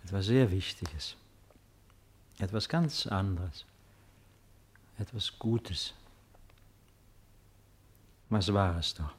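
Slow, grave old emperor. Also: male voice, low-pitched, expressive, husky, exaggerated voice. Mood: stern, brooding. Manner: slow, grave, measured declamation. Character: solemn, weary ancient emperor, pensive and proud. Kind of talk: 1950s German radio play acting.

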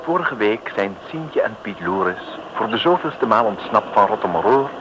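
Low-voiced, mock-solemn radio narrator played by a man. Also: lively intonation, normal speed, loud music behind the voice, lightly acted. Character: wry, mock-solemn male storyteller. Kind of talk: scripted narration, 1950s radio comedy. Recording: old, slightly noisy radio recording.

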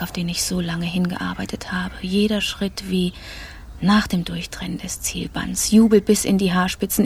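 An actress playing a triumphant, coolly vindictive career woman in a radio drama monologue, measured and savouring each phrase, with a creaky voice. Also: mid-range voice, slight background noise, natural voice.

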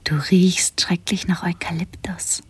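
An actress playing a curious, gentle female companion in a radio play, with a soft, whispery, breathy voice and hesitant questions. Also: fairly low pitch, flat delivery, loud music behind the voice, lightly acted. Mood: mildly concerned. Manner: slow, hesitant, tentative questioning. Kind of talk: 1970s German radio play acting.

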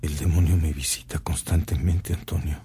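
An actor playing a humble, tormented missionary priest in a radio drama, speaking slowly and solemnly. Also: male voice, rumbling low, steady tone, dark tone, a little hiss or hum. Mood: weary, confiding. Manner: slow, solemn, anguished.

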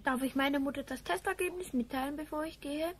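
A woman's voice: sits high, fast, slight background noise, natural voice. A woman playing a trusting, obedient young boy with an innocent, earnest manner in a radio play. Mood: puzzled pleading. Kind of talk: 1970s German radio play acting.

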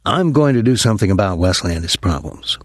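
A man's voice: deep voice, big pitch swings, fast talker, no echo, clean studio sound. A man voicing a blunt, short-tempered father in an audiobook, curt and plain-spoken with a bitter edge.

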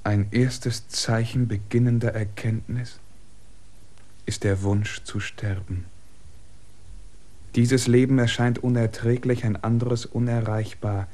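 An actor voices a messenger in a radio play delivering an official ruling. His mid-pitched voice reads formally and gravely, at an even, deliberate pace. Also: close to an everyday voice. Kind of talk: radio drama acting.

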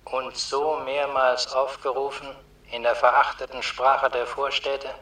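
A sober narrator of the underworld, tells a radio drama in grave, literary phrases. The delivery is slow and very even, heard at a distance in an echoing space. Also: male voice, medium pitch. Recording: roomy echo, distant from mic.

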